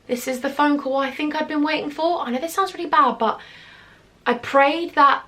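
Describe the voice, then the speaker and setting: Chatty young British woman, slightly high. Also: moderate pace, sharp tone. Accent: British English. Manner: chatty, confiding, sarcastic asides. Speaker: YouTuber. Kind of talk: storytime vlog.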